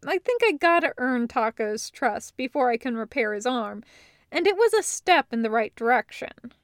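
A woman voicing an earnest, excitable nerdy inventor boyfriend in an audiobook, with a rambling, reassuring, casual way of talking. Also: fairly high pitch, normal speed, no echo, clean studio sound. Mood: easygoing, amused. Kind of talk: acted dialogue in an audiobook reading.

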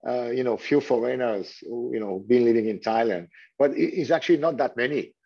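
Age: middle-aged. Native: French. Origin: Switzerland. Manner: halting, filler-heavy. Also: male voice, mid-range voice, gravelly, warm tone, breathy edge. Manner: hesitant, many fillers and restarts. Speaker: hotel general manager. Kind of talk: conversational interview answers. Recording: dull lo-fi sound.

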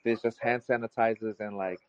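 A man's voice: on the low side, steady tone, a little fast, dry recording, highs cut off. A man with a New Zealand accent, a guest musician chatting casually and laid-back in an interview, with lots of fillers and restarts. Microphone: remote call from far away.

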